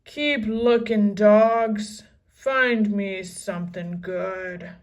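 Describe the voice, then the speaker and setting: A woman's voice: mid-range voice, steady tone, very slow, far from the mic. A woman voicing a big, mean, gold-greedy pirate captain in a children's storybook, roaring and growling out orders. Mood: barking orders. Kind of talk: children's storybook read-aloud.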